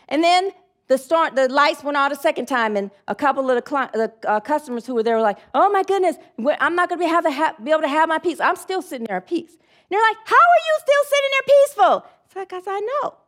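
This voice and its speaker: High-voiced woman preaching a sermon. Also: a bit bright. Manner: talkative and rambling, leads congregation in call-and-response affirmations.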